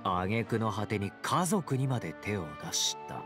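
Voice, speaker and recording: An actor playing a teasing, playful young fighter in a Japanese game, casual and smooth-talking with an edge. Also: male voice, fairly low pitch, big pitch swings, unhurried, over music. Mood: probing. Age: young adult. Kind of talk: Japanese anime-game voice acting.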